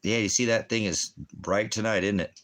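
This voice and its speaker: Deep-voiced man teaching on a livestream. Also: steady tone, moderate pace, a bit bright. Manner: blunt, challenging, asks and answers his own questions. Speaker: ministry teacher and livestream host. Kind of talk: rambling livestream Bible teaching and chat with commenters. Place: indoors at home by a fireplace.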